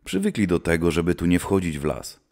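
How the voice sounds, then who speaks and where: A man's voice: low voice, wildly swooping pitch, brisk, vocal fry, darkish tone, exaggerated voice. A man playing a grim, seasoned witch hunter who speaks solemnly, like an old-time storyteller, in a scripted audio drama. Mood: grim, reminiscing.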